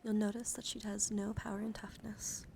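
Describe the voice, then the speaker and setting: A woman doing an ASMR livestream, chatting softly to viewers and often slipping into breathy whispers, repeating words soothingly. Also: medium pitch, little pitch movement, normal speed, slight background noise. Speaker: streamer.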